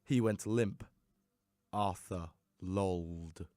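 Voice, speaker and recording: A man giving a theatrical, expressive scripted reading of a story as an audiobook narrator. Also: low voice, very slow, dry recording, studio quality.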